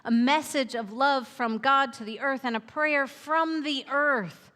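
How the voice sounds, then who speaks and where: A woman voicing a wise, ancient female turtle who speaks in slow, soft, solemn pronouncements. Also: high-pitched, in a large hall. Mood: prophetic.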